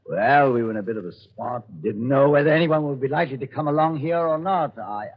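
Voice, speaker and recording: A man's voice: medium pitch, animated, brisk, natural voice. A man playing a polite but evasive British stranger, courteous and genteel, in 1940s radio acting. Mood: smooth, evasive. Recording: muffled old radio broadcast.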